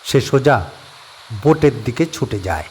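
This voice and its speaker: A man narrating a horror audio story with expressive, suspenseful storytelling. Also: mid-range voice, measured pace, slight background noise. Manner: expressive storytelling with rising and falling tension.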